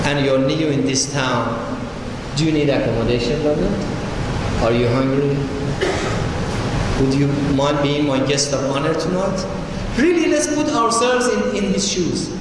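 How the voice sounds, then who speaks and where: A man giving a religious lecture, telling stories and putting rhetorical questions to his listeners. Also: high voice. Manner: rhetorical questions to the audience, storytelling. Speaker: Islamic preacher. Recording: echoey hall with noise.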